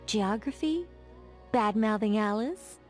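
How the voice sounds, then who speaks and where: A woman's voice: medium pitch, animated, slow pace, faint music behind. An actress voicing a poised, playful female mage in a game, talking in a wry, teasing, chatty way. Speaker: game character. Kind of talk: game voice lines.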